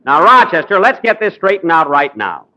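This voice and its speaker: Lively, exasperated radio comedy host. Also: male voice, high-pitched, normal speed, slight background noise. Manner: vain, easily exasperated comic delivery.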